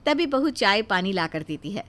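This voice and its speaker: A woman's voice: mid-range voice, big pitch swings, moderate pace, a bit bright. A woman narrating a scripted moral story as its storyteller.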